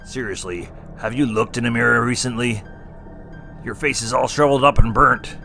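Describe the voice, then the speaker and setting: A man's voice: mid-range voice, normal speed, sharp tone, soft background music. An actor playing a wisecracking male clown who co-hosts a scripted horror review. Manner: punny, teasing. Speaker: co-host. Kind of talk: scripted comedic review banter.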